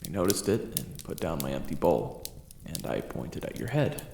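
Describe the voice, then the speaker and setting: A man narrating a roleplay story in the first person, addressing the listener as you, with an echo and loud music behind him. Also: a bass voice, normal speed, gravelly, noisy background. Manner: second-person storytelling, steady.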